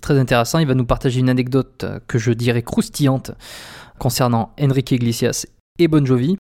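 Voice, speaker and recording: A man's voice: mid-range voice, fairly even intonation, brisk, a little mellow. Conversational male podcast host. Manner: addresses listeners directly, conversational with hesitations. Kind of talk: podcast interview and listener appeal.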